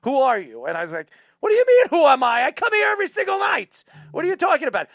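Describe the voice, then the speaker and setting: A man telling stories in casual chat as a talk show host, excitable, repeating himself and swearing now and then. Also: very high-pitched, fast, vocal fry, dry recording, studio quality. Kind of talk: casual chat, animated storytelling.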